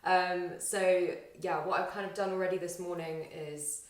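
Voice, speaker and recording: A young woman vlogging casually to camera, chatty and rambling, her voice slightly husky and tired after a weekend out. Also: lowish voice, steady tone, moderate pace, far from the mic. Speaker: vlogger and aspiring actor. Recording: roomy echo, faint music.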